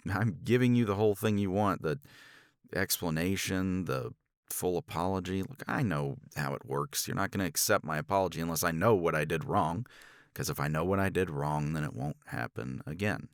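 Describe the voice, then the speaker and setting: Man acting a gravelly, low-voiced sardonic professor. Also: dry recording, clean studio sound. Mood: exasperated, defensive. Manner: sardonic, halting, articulate. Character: blunt, proud male college professor, awkwardly apologetic. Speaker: voice actor in audio roleplay. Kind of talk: romance ASMR roleplay drama.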